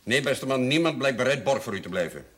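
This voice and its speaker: A man's voice: medium pitch, lively intonation, fast, a little hiss or hum, lightly acted. An older man playing a pompous, sardonic, shrewd village notary and moneylender in a television drama, speaking in formal, ironic phrases. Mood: brusque, businesslike. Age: older, over 50. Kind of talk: theatrical television drama dialogue.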